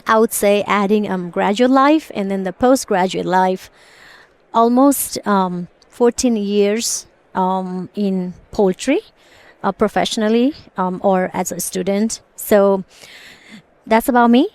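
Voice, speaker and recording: A woman's voice: mid-range voice, dry recording. A middle-aged woman, a professor talking casually in a podcast interview with many ums. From Nepal. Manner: many ums, quick bursts, friendly laughs. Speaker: associate professor, guest.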